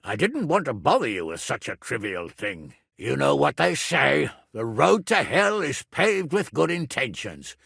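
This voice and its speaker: An actor voicing a gruff, hot-tempered but loyal middle-aged medieval nobleman in a video game, with a rough, gravelly voice and a blunt, lordly, emphatic manner. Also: medium pitch, sing-song, a little slow, few pauses, a bit bright, no room sound, studio quality, full character voice. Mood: gruff, subdued. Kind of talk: dramatic video game voice acting.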